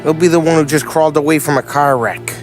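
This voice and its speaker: An actor playing a brash, hot-tempered 1930s mobster with an American accent and slangy, streetwise talk in a crime game. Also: male voice, a little high, steady tone, fast, music in the background, natural voice. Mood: sarcastic. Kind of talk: cinematic crime game dialogue.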